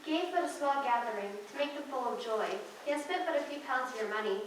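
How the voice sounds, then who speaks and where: Teen girl doing calm child spirit in radio play, echoey room, bit of noise. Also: high-pitched, fast. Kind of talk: student radio play acting. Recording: echoey room, distant mic, background noise.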